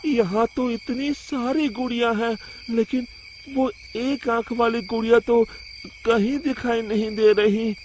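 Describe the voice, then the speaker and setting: A timid male servant, put on by a man, with a very high, eager voice. He pleads earnestly and obediently in a cartoon tale, with faint noise behind. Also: steady tone, slow. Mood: dismayed.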